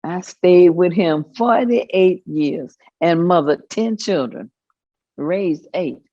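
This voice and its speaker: A woman playing an elderly grandmother in a staged reading, plainspoken and matter-of-fact, pausing as she reminisces. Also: fairly low pitch, lively intonation, mellow, no room sound, dull audio. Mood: fond, amused. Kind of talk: dramatic staged reading of oral history.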